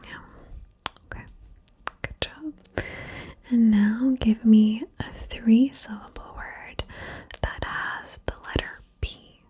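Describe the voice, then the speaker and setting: Slow whispering woman. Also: on the high side, fairly even intonation, breathy edge. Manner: slow soft whisper, coaxing and encouraging. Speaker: ASMR host guiding the listener. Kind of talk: whispered ASMR word-game session.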